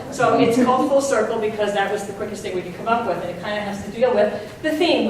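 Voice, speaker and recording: Lady teacher introducing a student show, bit halting and chatty, really echoey, faint noise. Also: mid-range voice. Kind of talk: informal spoken introduction to an audience. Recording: echoey hall, distant mic, faint noise.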